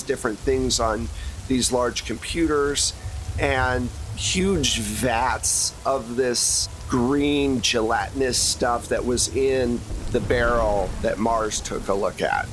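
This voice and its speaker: A man's voice: mid-range voice, a bit bright, slight background noise, rough recording. A man running a tabletop roleplaying game, improvising descriptive narration in a conversational way. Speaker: game master.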